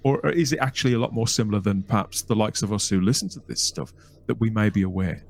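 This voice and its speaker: A man's voice: on the low side, quick pace, gravelly. A man hosting a podcast, asking long, winding interview questions with restarts. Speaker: podcast host.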